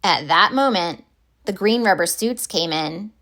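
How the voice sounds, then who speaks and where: A woman reading a children's picture book aloud as a storyteller, with deliberate, expressive phrasing. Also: lowish voice.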